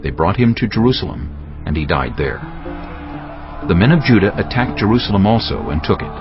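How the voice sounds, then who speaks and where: A man narrating scripture from a script in a measured, solemn storytelling manner. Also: sits low, sing-song. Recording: dull lo-fi, music behind. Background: music.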